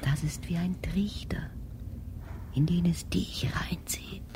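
An actress playing an ominous radio drama narrator, speaking in terse, clipped, often whispered fragments. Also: female voice, fairly low pitch, fairly even intonation, slow pace. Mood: hushed, insistent. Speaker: narrator and echoing voice.

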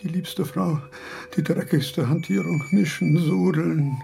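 An actor playing a frail, senile old philosopher who talks in a halting, rambling way and repeats his words. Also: on the high side, fairly even intonation, vocal fry, warm tone, a little hiss or hum, heavily acted. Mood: delirious. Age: older. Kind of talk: radio play acting.

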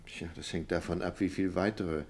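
Grave male physician, extremely deep voice, measured, formal, reassuring, radio play. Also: fairly even intonation. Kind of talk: German radio play acting.

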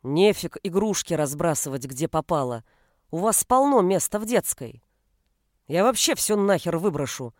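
A woman voicing an irritable, domineering father who barks gruff commands in an audiobook. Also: on the low side, expressive, moderate pace, a little mellow, dry recording, studio quality, voice pushed down, close to an everyday voice. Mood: grumbling. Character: irritable, domineering father snapping and roaring at his family. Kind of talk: audiobook character voice.

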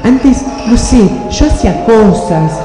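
Man playing a high-voiced bored rich wife. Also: lively intonation, slow. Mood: complaining. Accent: Rioplatense Argentine. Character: bored, chatty, neglected wife of a rich businessman. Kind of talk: improvised radio play. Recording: echoey hall with loud music.

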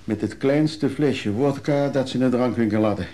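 Radio drama: actor as blunt, down-and-out man, late fifties, mid-pitched, dark mellow tone, hesitant muttering. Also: normal speed, a little hiss or hum, lightly acted. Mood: glum, weary. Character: down-and-out 58-year-old man, weary, stubborn, blunt. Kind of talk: naturalistic Dutch radio drama acting.

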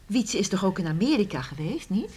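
A woman's voice: mid-range voice, very animated, brisk, warm tone, husky. Plaintive, scolding village mother. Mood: chatty. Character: middle-aged village mother, protective, reproachful, easily scandalised. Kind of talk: Dutch radio drama acting.

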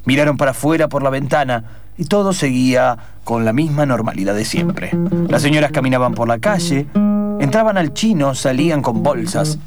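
A man's voice: mid-range voice, expressive, normal speed, over loud music. A man with an Argentine accent narrating an improvised radio theatre story in a dramatic storyteller's style.

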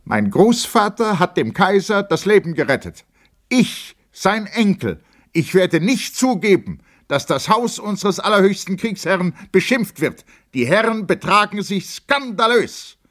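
A man voicing a young, drunken lieutenant in an audiobook, outraged and fiercely loyal, with emphatic, declamatory shouting. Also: high-pitched, sing-song, measured pace, pitched above the performer's own voice, heavily acted. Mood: indignant.

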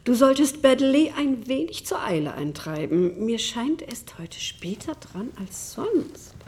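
A woman playing a gentle, genteel country-house lady in a German radio play, with a polite familial manner. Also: a little high, very animated, unhurried. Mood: mildly urging.

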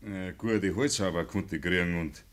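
An actor playing a plain-spoken, hesitant farmhand in a radio drama, deferential and speaking Bavarian dialect. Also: male voice, low-pitched, normal speed, lightly acted.

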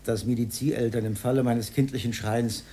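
A man's voice: medium pitch, level tone, a little fast, warm tone, slight background noise, lightly acted. An actor playing a timid, pedantic old man, speaking hesitantly and formally in a radio drama. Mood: reminiscing. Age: older.

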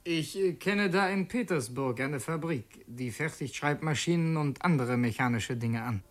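A man's voice: on the high side, moderate pace, lightly acted. An actor playing an earnest revolutionary who narrates his secret mission in a literary radio drama, introspective and brooding. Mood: nervously eager.

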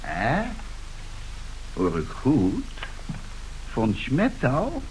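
Sly old taxman sidekick, really slow, animated lowish voice, radio drama. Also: exaggerated voice, poor audio. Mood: slyly threatening. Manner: deliberate, drily menacing. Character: sly retired male tax official, swindler's assistant. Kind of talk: old Dutch radio play acting. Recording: hissy old radio recording.

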